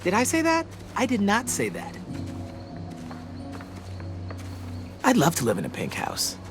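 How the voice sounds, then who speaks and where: A man's voice: sits high, wildly swooping pitch, brisk. A man playing a wisecracking, dramatic teenage boy survivor in a video game, with an American accent and a playfully theatrical delivery. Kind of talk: video game voice acting, casual dialogue. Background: background music.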